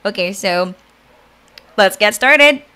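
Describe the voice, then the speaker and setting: A woman's voice: medium pitch, animated, slow pace, bright, forceful tone, clean tone. A woman hosting a podcast over a video call, enthusiastic and emphatic as she welcomes her guest. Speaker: podcast host. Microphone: studio mic on a video call.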